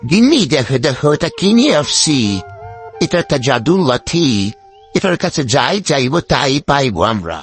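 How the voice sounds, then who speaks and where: High, swooping henpecked cartoon fox husband played by a man. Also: very animated, moderate pace, faint music behind. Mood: excited, pleased. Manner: wheedling, exclamatory, colloquial village talk. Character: henpecked, lazy older male fox husband, coaxing and excitable. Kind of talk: cartoon story dialogue.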